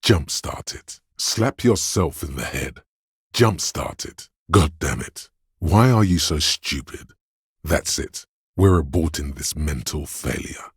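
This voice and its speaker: The voice of pain inside someone's head, a male presence with an extremely low, husky rumble. It narrates a dark video game like grim poetry, brooding and deliberate. It goads the listener with theatrical menace, close and dry. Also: animated, no echo, full character voice. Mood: harsh, goading. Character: inner voice of pain, male, menacing, darkly poetic. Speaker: video game narrator voicing a character's inner thought. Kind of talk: dramatic second-person game narration.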